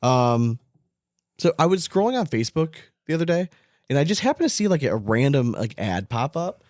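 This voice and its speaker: A man's voice: mid-range voice, big pitch swings, moderate pace, darkish tone, dry recording, studio quality. An American man co-hosting a podcast in casual, rambling talk, often leaning on filler words like "like". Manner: rambling, informal, frequent "like". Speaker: podcast co-host. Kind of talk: casual chat.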